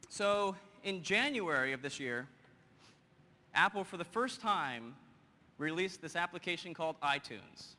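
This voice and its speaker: A man in his thirties giving a lively live software demo on a conference stage, joking with the audience. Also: a little high. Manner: energetic, quick and joking with the audience. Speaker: presenter. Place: large conference hall stage. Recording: hall reverb, faint noise.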